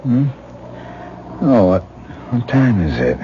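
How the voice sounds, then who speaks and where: A man playing a drowsy, nonchalant husband in a 1970s radio drama, with a slow, groggy, gravelly voice. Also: medium pitch, very animated, warm tone. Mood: groggy, casual. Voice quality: gravelly, sleepy. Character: drowsy, unbothered husband, an eccentric actor. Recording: dull, noisy 1970s radio broadcast recording.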